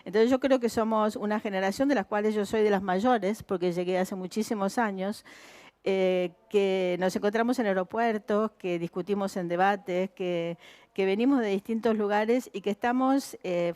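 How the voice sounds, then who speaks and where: A woman's voice: mid-range voice, a little mellow, no echo. An older woman writer speaking on a festival panel, thoughtful, with many fillers and tag questions. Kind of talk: panel discussion. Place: festival stage.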